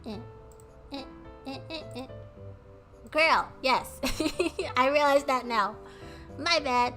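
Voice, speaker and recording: Woman streamer, high bright voice, bubbly and giggly, casual chat with viewers. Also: slow, faint music behind. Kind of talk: casual chat with live chat while playing a game.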